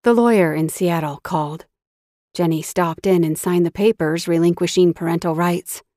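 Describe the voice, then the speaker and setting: A woman voices a tired, gentle mother figure who speaks softly with sighs between her words. Her voice sits low, and the recording is dry and close. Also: steady tone, normal speed, dry recording, lightly acted. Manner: soft, sighing.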